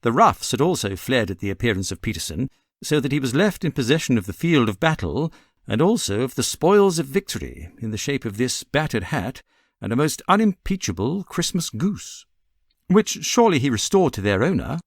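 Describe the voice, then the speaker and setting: A British man voicing a brilliant, self-assured gentleman detective in an audiobook, precise and theatrical as he sets things out. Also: mid-range voice, sing-song, normal speed, no echo, clean studio sound. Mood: storytelling. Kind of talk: audiobook character reading.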